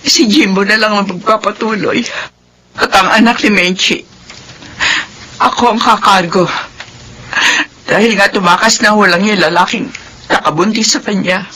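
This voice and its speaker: A woman's voice: mid-range voice, steady tone, moderate pace, pauses often, slight background noise, lightly acted, low-quality recording. An actress playing a middle-aged mother betrayed by her children, in classic radio drama style. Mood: stern. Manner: sobbing, shouting anguish.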